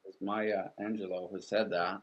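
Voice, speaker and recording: Gravelly Swedish man, thoughtful and halting. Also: low-pitched, level tone, highs cut off. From Sweden. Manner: thoughtful, halting, with many restarts and fillers. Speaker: artist and author, guest. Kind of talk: reflective interview conversation.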